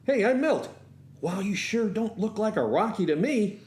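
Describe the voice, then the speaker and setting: A man's voice: sits high, expressive, normal speed, a little mellow. A man acting as a young driving instructor and soldier, telling his love story in a wry, folksy way for a radio play. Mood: friendly, joking. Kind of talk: first-person radio storytelling with acted dialogue.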